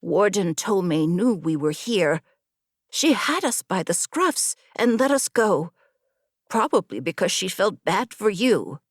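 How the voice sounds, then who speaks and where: A woman's voice: mid-range voice, very animated, unhurried, no echo, clean studio sound. A woman narrator voicing a determined young female aspiring warrior in an audiobook, resolute and earnest. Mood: dismissive. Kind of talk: audiobook character dialogue.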